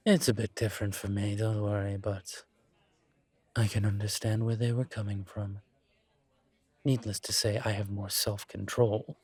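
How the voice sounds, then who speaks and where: Man playing a slow, wry, low-voiced incubus. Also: a little mellow, slightly rough, no echo. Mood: wry, self-assured. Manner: measured, deliberate, wry, conversational. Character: composed, wry male incubus demon investigator, politely self-assured. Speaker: audio roleplay performer. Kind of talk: scripted one-sided roleplay audio drama.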